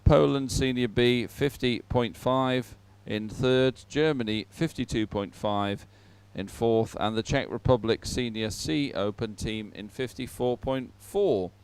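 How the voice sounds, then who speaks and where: A man presenting a live sports event off the cuff, in a chatty, somewhat halting manner. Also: medium pitch, darkish tone, clean tone.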